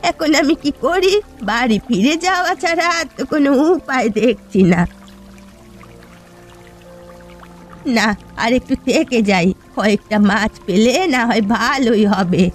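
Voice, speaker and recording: A sorrowful old village fisherwoman, acted by a woman with a rather high voice and background music. She is poor and weary, and talks in a plaintive, folksy, resigned way, as in a cartoon tale. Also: animated, moderate pace, lightly acted. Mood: worried. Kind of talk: Bengali cartoon story dialogue.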